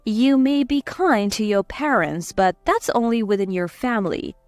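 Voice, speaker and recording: Woman playing a confident, cutting aunt, highish voice. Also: normal speed, no echo, faint music behind, close to an everyday voice. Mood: pointed, smug. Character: confident, cutting, protective aunt exposing cheaters. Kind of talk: scripted story dialogue.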